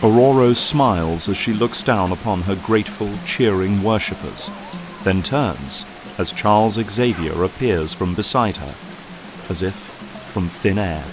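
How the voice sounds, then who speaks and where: A man's voice: on the low side, lively intonation, a little slow, low-quality recording. A man narrating an audio drama from a script in a solemn, dramatic storytelling manner. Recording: loud music and effects behind.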